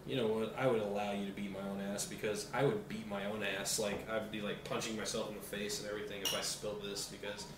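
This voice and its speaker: A man's voice: on the low side, flat delivery, fast talker, hardly any pauses. Casual American man in an echoey kitchen. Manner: informal, profane. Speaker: co-host of a beer tasting show. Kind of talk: casual chat. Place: small kitchen at a table, indoors. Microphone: camera mic across the table. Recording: echoey room, background hiss.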